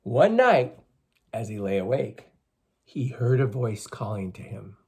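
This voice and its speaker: A man reading a story aloud to schoolchildren in an expressive, teacherly way, with emphatic phrasing. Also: mid-range voice, unhurried, husky. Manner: teacherly, emphatic, addresses listeners with questions.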